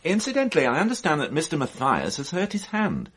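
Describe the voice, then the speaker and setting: A man's voice: on the high side, wildly swooping pitch, fast talker, a bit bright, husky, full character voice. An actor playing a shrewd police inspector in a radio drama, courteous, teasing and sardonic as he probes. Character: wry, shrewd male police inspector, politely probing.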